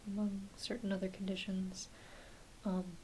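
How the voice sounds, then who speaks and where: A woman performing a soft-spoken, often whispered ASMR roleplay as a doctor, talking gently and reassuringly to a patient with some hesitancy. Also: medium pitch, flat delivery, a little slow, low-quality recording. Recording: clear background hiss.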